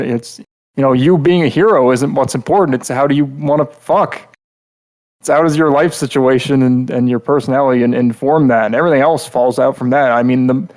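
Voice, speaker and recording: A man's voice: mid-range voice, a little mellow. Rambling, blunt male podcast co-host. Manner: rambling, blunt, heavy use of filler "like". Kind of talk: casual podcast critique.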